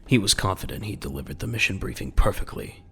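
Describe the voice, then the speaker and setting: A man narrating a scripted audio drama in a measured, dramatic, gravelly voice. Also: low voice, expressive, bright, forceful tone.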